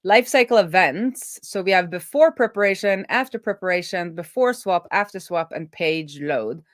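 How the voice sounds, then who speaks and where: Low-voiced woman thinking aloud while coding. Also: animated, no echo, clean studio sound. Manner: halting, trailing off mid-thought and self-correcting. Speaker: developer hosting a live coding stream.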